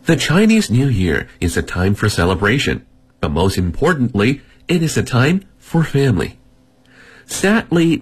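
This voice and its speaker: A male radio host with a husky voice reads a scripted introduction. His pitch moves a lot, giving the intro an animated feel. The tone is that of a studio presenter.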